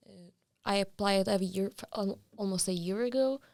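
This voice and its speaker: A young woman from Turkey, a podcast guest, giving brief, halting answers. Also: medium pitch, darkish tone, no echo, clean studio sound. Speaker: guest, team lead. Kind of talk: casual interview chat.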